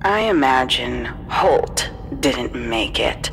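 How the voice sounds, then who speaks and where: An actress playing a smug, menacing symbiote villain in a video game, with a taunting, drawling delivery. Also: female voice, very deep voice, expressive, takes it slowly, music in the background, heavily acted, low-quality recording.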